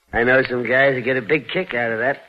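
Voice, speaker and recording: A man's voice: mid-range voice, lightly acted. An American actor playing a menacing gunman in a 1940s radio crime drama, taunting in tough-guy slang. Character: menacing male gunman shaking down a crook. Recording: muffled old radio broadcast.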